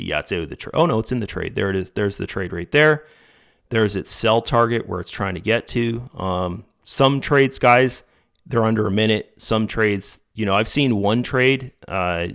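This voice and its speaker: Low-voiced man, hoarse, rambling commentary. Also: a bit bright. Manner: rambling, self-correcting, addresses viewers as guys. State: slightly hoarse, losing his voice. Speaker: channel host reviewing a trading bot. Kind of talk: casual screen-share commentary.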